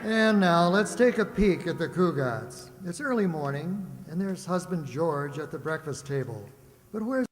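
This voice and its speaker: A man's voice: fairly high pitch, animated, measured pace, faint music behind. A man narrating a scripted old-time radio comedy as a theatrical announcer. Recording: echoey hall with faint noise.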